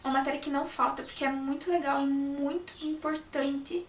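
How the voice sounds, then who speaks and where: A woman's voice: sits high, fairly even intonation, a little fast, slight background noise. A young woman, a journalism student, chatting casually to camera and often restarting her sentences. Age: young adult. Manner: chatty, restarts often, says "sabe?" a lot. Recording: roomy echo, mic a bit far off.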